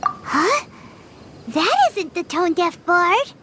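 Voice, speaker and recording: A woman voicing a small, childlike, loyal fairy companion in a video game, with a squeaky, exclamatory delivery. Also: big pitch swings, extremely slow, noisy background, full character voice. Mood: puzzled, earnest. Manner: exclamatory, refers to self in third person. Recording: loud music behind the voice.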